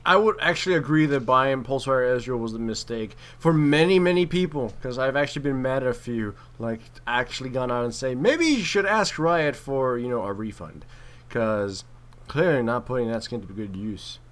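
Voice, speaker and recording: A man's voice: mid-range voice, animated, moderate pace, a bit bright. A man giving casual, chatty commentary on a video game replay as one of the players.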